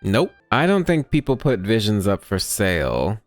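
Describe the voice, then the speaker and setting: Female streamer, very low register, slangy and deadpan, chatting over a game with faint music. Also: normal speed. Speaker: gameplay streamer. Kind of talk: casual game playthrough commentary.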